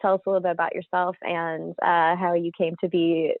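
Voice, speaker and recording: A woman's voice: lowish voice, little pitch movement, brisk, dry recording. A woman with a gushing manner hosting a panel introduction over a narrow video call connection. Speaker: host, marketing director of a theater company.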